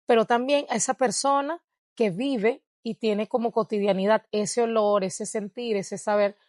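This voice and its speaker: A woman's voice: on the high side, fairly even intonation, moderate pace, darkish tone, dry recording, clean studio sound. A Venezuelan woman with a Venezuelan Spanish accent, answering interview questions in a reflective way and laughing often. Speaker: guest, dancer and researcher. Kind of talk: interview conversation.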